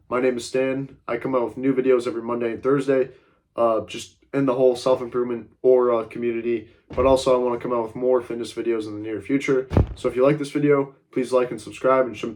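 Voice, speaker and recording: A young man with a stuffy, congested voice talks straight to his camera in a casual vlog. His pitch sits in an ordinary middle range. He rambles through his advice with lots of "like" and "uh" and stays blunt, now and then throwing in a curse. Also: darkish tone. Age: young adult. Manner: rambling, frequent fillers like "like" and "uh"; blunt, occasionally swears. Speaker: self-improvement vlogger.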